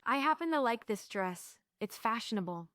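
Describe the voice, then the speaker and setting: Woman acting a wry heroine, bright, crisp voice. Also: a little high, animated, normal speed, no echo, clean studio sound. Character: wry, world-weary, outspoken woman Opal. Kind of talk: theatrical play table read.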